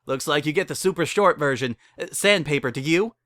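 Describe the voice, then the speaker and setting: A man acts out a middle-aged storybook pony mare who runs weddings, a little tipsy and breezy. The voice is bright and lifted, close and dry, with brisk, casual sales patter. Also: fairly high pitch, no echo, voice pushed up, close to an everyday voice. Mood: hurried, brash.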